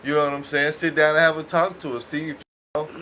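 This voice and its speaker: A man's voice: fairly high pitch, little pitch movement, fast talker, pushed and bright. An American man co-hosting a podcast, rambling and repetitive, in casual slangy talk. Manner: rambling, slangy, repeats himself, acts out advice in dialogue. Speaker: podcast co-host. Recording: noisy background.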